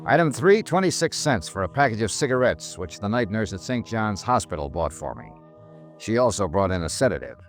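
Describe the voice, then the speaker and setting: An actor playing a world-weary insurance investigator in a 1950s radio detective drama, grim and clipped with brooding pauses. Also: male voice, on the low side, big pitch swings. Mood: dry narration. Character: world-weary male insurance investigator, grimly narrating his case. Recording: vintage radio drama with music underneath.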